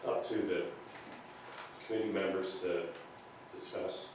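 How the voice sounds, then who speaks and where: Guy chairing a local meeting, kinda halting n monotone, super echoey far off mic. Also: low voice. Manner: hesitant, halting delivery. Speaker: committee chair running a public meeting. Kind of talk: informal meeting procedure talk. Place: indoor public meeting room. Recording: distant mic, very echoey noisy meeting room.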